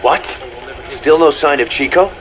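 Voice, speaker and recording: An actor playing a pragmatic, hard-edged second-in-command of a mercenary army in a video game drama, businesslike and sardonic. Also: male voice, on the high side, unhurried, a crowd in the background, close to an everyday voice. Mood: alarmed, frustrated.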